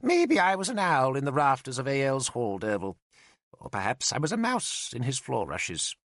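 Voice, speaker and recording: A man's voice: medium pitch, wildly swooping pitch, normal speed, a bit bright, no room sound, studio quality. A male narrator voicing an old, vain and cantankerous wizard who mocks and lectures in a sardonic way. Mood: sly. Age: older. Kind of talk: audiobook character voice.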